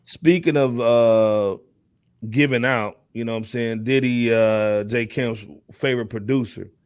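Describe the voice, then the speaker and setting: A man's voice: medium pitch, unhurried, clean tone, no echo. An American man hosting a podcast, chatting casually about hip hop in slangy, conversational speech. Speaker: podcast host.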